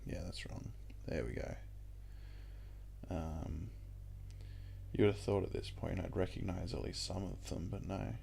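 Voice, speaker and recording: A young Australian man with a croaky voice, chatting casually and rambling with lots of ums while he plays a puzzle game. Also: sits low, measured pace, long pauses, a little hiss or hum. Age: young adult. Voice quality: croaky, gravelly voice. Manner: rambling and relaxed, with many ums. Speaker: gaming YouTuber. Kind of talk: casual let's-play commentary.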